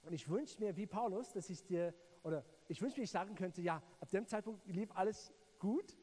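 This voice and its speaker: A man from South Africa, speaking with a South African English accent and a native English speaker, preaching a sermon in an earnest, halting way, often repeating words as he addresses the listener directly. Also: high-pitched, a little mellow.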